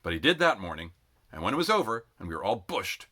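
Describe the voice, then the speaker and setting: A man reading a novel aloud as an amateur narrator, in an expressive, dramatic audiobook style. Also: fairly low pitch, fast talker. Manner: animated, dramatic phrasing.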